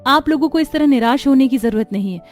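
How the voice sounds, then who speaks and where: A woman's voice: high voice, expressive, fast talker, pitched above the performer's own voice. A woman playing a caring, determined young daughter-in-law in a cartoon story, speaking in an earnest, reassuring way.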